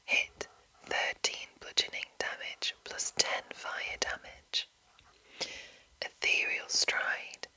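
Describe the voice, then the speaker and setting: A woman speaking in an unvoiced whisper, hushed and deliberate, as an ASMR host reading aloud from a game book. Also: low-quality recording. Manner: hushed, slow, deliberate enunciation.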